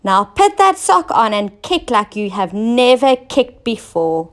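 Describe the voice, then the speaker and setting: A woman's voice: a little high, very animated, a little slow. A woman voicing a quarrelsome, bossy queen in a children's storybook, scolding and demanding. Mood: urgent, demanding. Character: quarrelsome, bossy queen, brusque but helpful. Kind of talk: children's storybook character reading.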